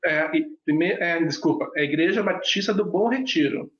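A man with a notably high voice, whose first language is sign language, tells his life story in halting speech full of fillers and restarts. The sound is muffled and lo-fi, carrying the echo of a reverberant room. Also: fairly bright tone. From Brazil. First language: Brazilian Sign Language. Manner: hesitant, many fillers and restarts. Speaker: pastor and sign language interpreter. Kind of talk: personal storytelling in an interview. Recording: echoey, dull lo-fi sound.

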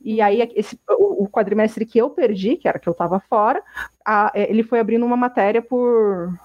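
A woman's voice: fairly high pitch, moderate pace, no room sound. A young woman from Brazil answering interview questions about her exchange studies, rambling with many restarts and fillers. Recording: dull muffled sound.

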